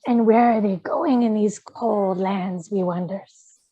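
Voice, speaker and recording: A woman's voice: medium pitch, fairly even intonation, dark tone, highs cut off. A woman voicing a small, wretched, cunning creature with a whiny, hissing voice and broken grammar, at a fantasy script table read. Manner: slow, fawning, broken grammar.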